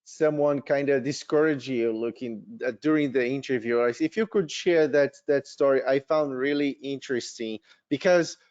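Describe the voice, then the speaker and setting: A man moderates a panel discussion and asks his questions haltingly, filling the gaps with ums. His voice sits mid-range, and the recording sounds dull and muffled. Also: medium pitch, a little mellow. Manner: hesitant, many fillers. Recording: muffled audio.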